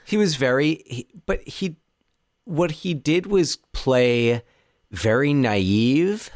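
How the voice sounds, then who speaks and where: A man's voice: medium pitch, lively intonation, slow pace, darkish tone, no room sound, studio quality. A man co-hosting a casual movie podcast, talking animatedly with frequent restarts. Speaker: podcast co-host. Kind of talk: casual chat.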